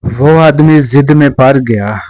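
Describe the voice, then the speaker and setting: A man's voice: mid-range voice, slow, darkish tone. A man narrating a scripted children's story as a close, dry voice-over.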